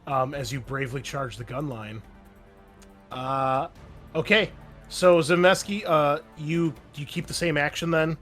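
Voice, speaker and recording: A man's voice: on the high side, fairly even intonation, a little slow, a bit bright, soft background music. A man running a tabletop roleplaying game as game master, explaining rules and narrating with frequent fillers and restarts.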